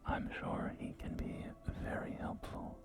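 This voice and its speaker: A man playing a polite, salesy post-apocalyptic real estate agent in a whispered, breathy ASMR roleplay, with courteous, hesitant pauses. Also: natural voice. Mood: smooth, suggestive. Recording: soft game music behind. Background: music and game audio.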